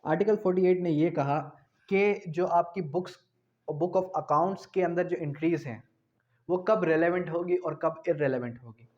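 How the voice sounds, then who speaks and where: Male lecturer, Pakistani accent, slightly high pitch, didactic, repeats key phrases, dull lo-fi recording. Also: moderate pace, a little mellow. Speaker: law lecturer. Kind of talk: explanatory lecture, reading out legal articles and explaining them. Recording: dull, lo-fi audio.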